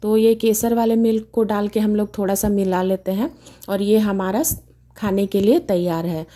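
A woman's voice: mid-range voice, steady tone, normal speed, warm tone. A woman hosting her own cooking channel, chatting through a recipe step by step in her home kitchen. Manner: chatty, homely step-by-step explaining.

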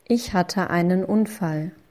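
A woman reading short phrases slowly and clearly, saying each one twice for learners. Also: on the low side, darkish tone. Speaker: language lesson voice. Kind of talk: slow repeated phrase reading.

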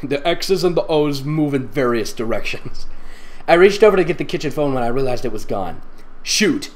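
An animated fanfiction reader with a mid-range voice that swoops up and down. The delivery is theatrical and sarcastic, a mock-dramatic reading broken by exasperated commentary. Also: mid-range voice, fairly quick. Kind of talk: comedic dramatic reading with mocking asides.

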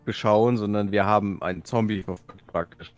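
Man, slightly deep voice, informal chat and recap among role-playing gamers. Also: fairly even intonation, normal speed, soft background music. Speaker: player in a tabletop role-playing game. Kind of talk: casual chat and session recap.

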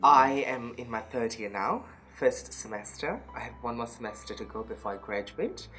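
A young man in his twenties from Malaysia with a Malaysian accent, speaking haltingly in a documentary interview, often searching for words. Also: medium pitch, expressive. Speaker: student interviewee. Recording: music bed under voice. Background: music.

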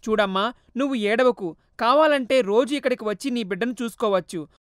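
A woman's voice: on the high side, fairly quick, no room sound, clean studio sound, voice pushed up, natural voice. A woman voicing a middle-aged wealthy adoptive father who speaks firmly as he explains.

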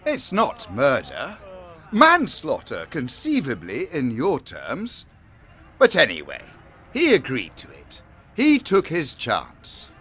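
An actor as a swooping, suave pagan lord. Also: male voice, mid-range voice, wildly swooping pitch, takes it slowly, sharp tone, exaggerated voice. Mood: unruffled. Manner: theatrical, urbane, condescending. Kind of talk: full-cast audio drama acting.

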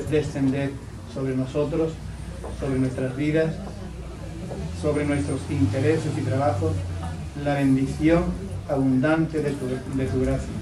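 Older priest reading a solemn blessing. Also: male voice, fairly high pitch. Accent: Andalusian Spanish. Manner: solemn, steady liturgical reading. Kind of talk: blessing prayer read aloud. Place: small shop interior, crowded. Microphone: far camera. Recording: echoey shop from far off, noisy.

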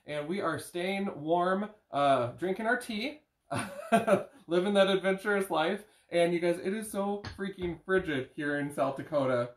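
A man's voice: sits high, unhurried. A man in his thirties co-hosting a comic book show, talking casually and upbeat from a living room couch on a camera mic. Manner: upbeat, hyped show opener. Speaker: podcast co-host. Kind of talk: casual chat. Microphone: far camera. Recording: roomy living-room echo.

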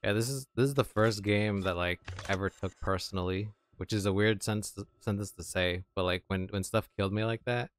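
A man's voice: fairly low pitch, normal speed, hardly any pauses, slight background noise. A man casually chatting and giving hints during video game play. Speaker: gaming companion giving hints. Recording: loud game sound behind. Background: loud video game music and effects.

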